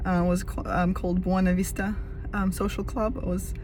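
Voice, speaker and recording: A woman with a slightly rough, worn voice, chatting casually and enthusiastically as a project management coach and often adding "right?" to her points. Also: on the low side, level tone, a little fast, a little mellow, slight background noise. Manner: enthusiastic, chatty, frequent "right?" tag questions. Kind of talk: casual talk to camera.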